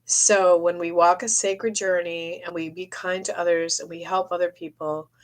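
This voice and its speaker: A middle-aged woman with a cold, losing her voice, chatting in a meandering way on a live stream and often clearing her throat. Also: fairly low pitch, level tone, normal speed, rough recording. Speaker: psychic medium streaming live. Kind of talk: rambling live-stream chat. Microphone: phone or webcam for a live stream.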